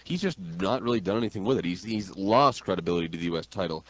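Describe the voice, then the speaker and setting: A man's voice: deep voice, expressive, quick pace, a bit bright. A man from New York State with an American accent, talking wrestling as a brash, emphatic, slangy radio host. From New York State, United States. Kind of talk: opinionated wrestling talk radio banter. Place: radio studio. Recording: dull lo-fi radio audio.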